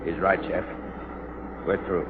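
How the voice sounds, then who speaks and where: An actor playing a grim, practical space mutineer, terse and matter-of-fact, in a 1950s radio drama. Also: male voice, deep voice, steady tone, takes it slowly. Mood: grim, resigned. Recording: muffled, noisy old radio broadcast.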